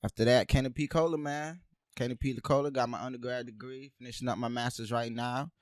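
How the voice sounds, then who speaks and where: A young man from Haiti co-hosting a casual podcast, an animated storyteller who says "bruh" and "man" a lot. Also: mid-range voice, a little fast, no echo, clean studio sound. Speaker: podcast co-host. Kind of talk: casual chat.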